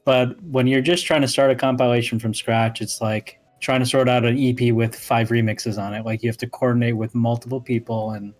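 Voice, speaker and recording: Man, mid-pitched voice, casual panel chat, host, soft music behind. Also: fairly even intonation, fairly quick, hardly any pauses.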